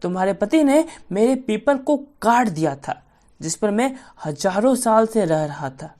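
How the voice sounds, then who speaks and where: A woman's voice: mid-range voice, lively intonation, unhurried, a little mellow, natural voice. A woman voicing a menacing, boastful ancient male demon who possesses a priest in a children's story. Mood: proud, relenting. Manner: boastful, threatening declarations.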